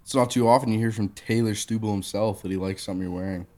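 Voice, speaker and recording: A man's voice: on the low side, fairly even intonation, quick pace, darkish tone. A man co-hosting a casual podcast, laid-back and jokey, swearing casually and sounding a bit congested. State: congested from a sore throat. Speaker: podcast co-host. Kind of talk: casual chat.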